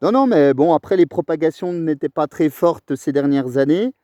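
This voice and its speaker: A chatty Frenchman with a slightly high, mellow voice. He rambles along enthusiastically in long, casual turns as a radio operator. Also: expressive, normal speed, dry recording, highs cut off. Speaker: amateur radio operator recording his contact.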